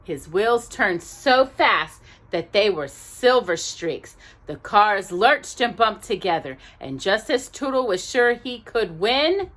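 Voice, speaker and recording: A woman reading a children's story aloud expressively, as if to young listeners, pausing to check in with them. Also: mid-range voice, a bit bright. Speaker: storytime reader.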